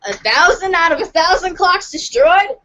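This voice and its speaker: A woman giving an animated dramatic reading of a comic story for a podcast. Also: very high voice, normal speed. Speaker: podcast reader.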